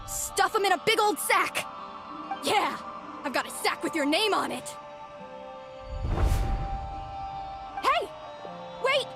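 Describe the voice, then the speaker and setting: An actress playing an overworked, exasperated young office worker in a video game, weary and pointed in delivery. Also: female voice, sits high. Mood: weary, hesitant. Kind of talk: dramatic video game voice acting. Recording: music playing behind the voice.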